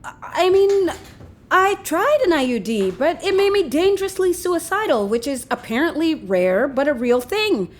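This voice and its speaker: A flippant, very high-voiced young-sounding lover. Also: female voice, sing-song, moderate pace. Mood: defensive, earnest. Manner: breezy, casual, needling. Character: flippant, unrepentant woman arguing with her lover. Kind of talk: naturalistic audio drama acting.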